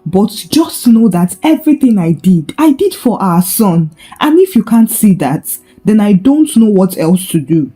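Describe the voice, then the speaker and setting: A woman's voice: mid-range voice, big pitch swings, a little slow, few pauses, warm tone, faint music behind. A woman storyteller voicing a wronged young mother, tearful and defiant, in a dramatised folktale.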